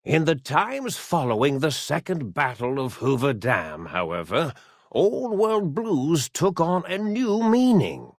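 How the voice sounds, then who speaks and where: Creaky, eccentric old mad scientist. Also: male voice, a little high, huge pitch swings, takes it slowly, no room sound, studio quality, full character voice. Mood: solemn, subdued narration. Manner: rambling, stammering, theatrical self-corrections. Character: eccentric, absent-minded old mad scientist, a brain in a robot. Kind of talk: theatrical video game voice acting.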